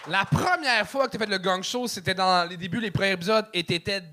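A man with a high, bright, forceful voice and a Quebec French accent. He hosts a comedy show in casual banter. His manner is blunt and teasing. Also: a little fast, slight background noise. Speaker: comedy show host and judge.

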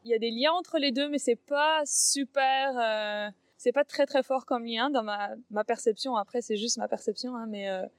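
A woman from France, a guest in a casual interview, talking in a relaxed way with many fillers. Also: a little high, moderate pace, hardly any pauses, no room sound, clean studio sound. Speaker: interview guest, dance artist and communication trainer.

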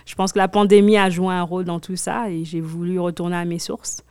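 A young woman from Africa, a hip-hop artist, chatting backstage in an interview, relaxed, with plenty of fillers and restarts. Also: lowish voice, brisk, clear, resonant tone, dry recording.

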